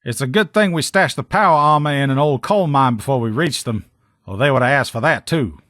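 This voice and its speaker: Guy acting cocky wasteland lieutenant for a video game, blunt salty talk, pitch jumps around a lot, really dry close sound. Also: lively intonation, a little fast, fairly bright tone, no room sound, lightly acted. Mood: matter-of-fact. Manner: blunt, colloquial, salty-tongued, sardonic. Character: brash male sheriff's lieutenant, proud power armor mechanic, post-apocalyptic soldier. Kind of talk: video game character dialogue.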